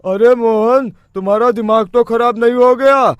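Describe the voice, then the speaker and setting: A man's voice: extremely high pitch, steady tone, normal speed, clean tone, no echo, highs cut off. A man voicing a cautious, scolding villager in a children's cartoon. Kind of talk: children's cartoon story acting.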